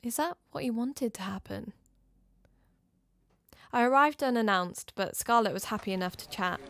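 A woman's voice: on the high side, moderate pace, creaky, a bit bright. A woman playing an uneasy investigator, narrating her story in scripted first person, confidingly. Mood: uneasy, reflective.

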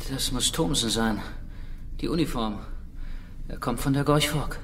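A man's voice: medium pitch, a little slow, slight background noise, natural voice. Man acting a sober captive, slightly distant voice. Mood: sober, bitter. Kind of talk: radio drama acting.

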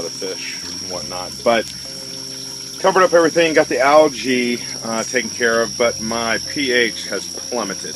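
Rambling man on a noisy phone recording. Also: fairly low pitch. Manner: relaxed, rambling with many fillers. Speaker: aquaponics hobby farmer. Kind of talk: casual video update. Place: indoor grow room with fish tanks, briefly outdoors. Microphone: phone camera held while filming.

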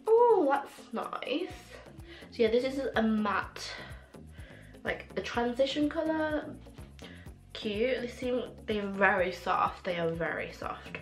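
A woman's voice: a little high, slow. Young girl with a sore throat gushing over makeup, faint music behind. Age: young adult. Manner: gushing, excitable, many fillers. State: has a cold and sore throat. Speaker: beauty vlogger. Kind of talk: casual makeup review. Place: small room at home, indoors. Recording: echoey room with faint music.

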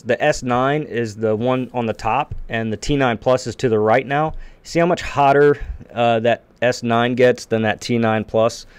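A man's voice: medium pitch, clean tone, dry recording. A man in his thirties hosting his own channel, talking casually over footage with lots of fillers in a small home office. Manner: relaxed, rambling, many fillers. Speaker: channel host. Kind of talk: casual commentary over video. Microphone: desk mic on boom arm.

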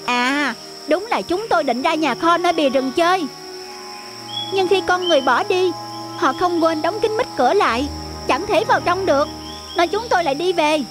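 A woman's voice: very high voice, animated, moderate pace, over music. A woman voicing a matter-of-fact forest monkey in a children's cartoon, explaining things plainly.

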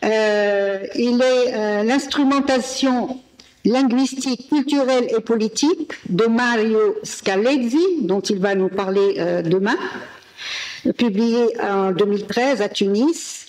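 A woman moderator reading a speaker's biography from notes at a desk mic, with pauses and fillers. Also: a little high, a little mellow, poor audio. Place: ornate hall indoors. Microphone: desk gooseneck mic.

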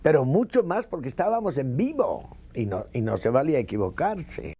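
A man's voice: medium pitch, sing-song, moderate pace, dark tone, slightly rough. A man, a veteran radio actor, reminiscing emphatically in an interview and repeating phrases for effect.

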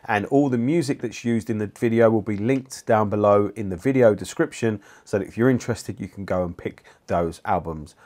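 A man's voice: lowish voice, fairly quick, hardly any pauses, darkish tone. A man in his forties presenting a review video as host, speaking into a lapel mic in a small treated studio. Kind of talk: scripted-sounding video presenting to camera. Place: small indoor studio room with acoustic panels.

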